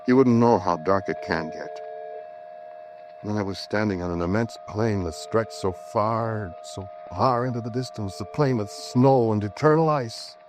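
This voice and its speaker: An actor in a 1940s radio drama playing a haunted scientist who narrates his ordeal in a brooding, hesitant way with dramatic pauses. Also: lowish voice, noisy background. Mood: bleak, awed. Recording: vintage radio recording with music behind.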